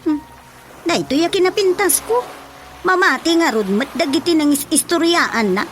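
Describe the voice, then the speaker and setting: A sassy, defiant village woman with an Ilocano accent in a radio drama comedy. Her voice is high and swoops animatedly through exclamations, over a faint music bed. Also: lively intonation, normal speed, a bit bright.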